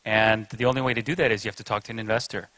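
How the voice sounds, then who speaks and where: A man explains his approach on a panel in a mid-range voice. The tone is bright and forceful, and the sound is dry with no room around it. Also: on the low side, steady tone, racing, no room sound, poor audio. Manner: conversational and explanatory. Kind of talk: conference panel discussion.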